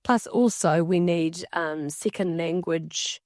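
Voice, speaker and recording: A woman's voice: fairly low pitch, slow pace, darkish tone, dry recording. New woman telling stories in dry sound. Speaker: sign language interpreter voicing a signer. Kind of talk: casual conversational storytelling, interpreted from sign language.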